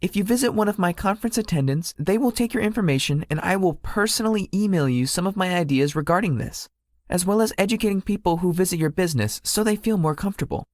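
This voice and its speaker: A man playing a gracious young celebrity tech guru in a visual novel, speaking politely and formally in a measured way. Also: sits high, animated, dry recording. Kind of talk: visual novel character acting.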